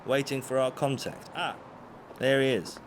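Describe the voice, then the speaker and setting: An actor playing a discreet, courteous Scotland Yard detective and bodyguard in an audio drama, polite and official. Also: male voice, medium pitch, steady tone, normal speed, close to an everyday voice. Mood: guarded, courteous. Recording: clear background vehicle noise.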